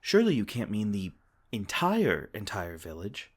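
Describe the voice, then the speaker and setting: A man voicing a gentle, protective male fae king in an ASMR comfort roleplay, soft-spoken and tender, stumbling over his words now and then. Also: on the low side, wildly swooping pitch, normal speed, husky, exaggerated voice. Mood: alarmed, indignant.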